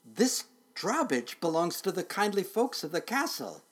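A timid wagon driver from a storybook acted out by a man. His voice is pitched up high, and he stammers nervously as he protests. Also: sing-song, unhurried, full character voice. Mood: frightened. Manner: stuttering, raised pitch. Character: frightened, stammering male castle wagon driver.

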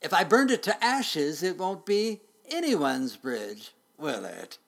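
Man voicing a rumbling, greedy muffin-loving dragon. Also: wildly swooping pitch, slow, vocal fry, fairly bright tone, full character voice. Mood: menacing, demanding. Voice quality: rumbling, mumbling growl.

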